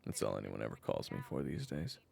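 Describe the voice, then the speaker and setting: A man playing a jaded, dryly sarcastic former thief in an audio roleplay, with a deadpan manner. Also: rumbling low, quick pace, creaky, slightly rough, exaggerated voice. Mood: sardonic. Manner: deadpan, dry humor. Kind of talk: audio roleplay acting, phone conversation.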